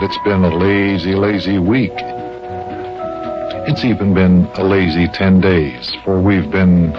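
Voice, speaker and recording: A man narrating and hosting an old-time children's radio serial with expressive, deliberate storyteller phrasing. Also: low-pitched, lo-fi sound.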